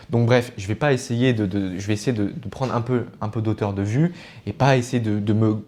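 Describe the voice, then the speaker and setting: A man with a fairly low voice speaks as an online video essayist. He explains things in an earnest, teacherly way, and his informal delivery wanders into asides and self-corrections. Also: darkish tone. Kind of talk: informal explanatory political commentary to viewers.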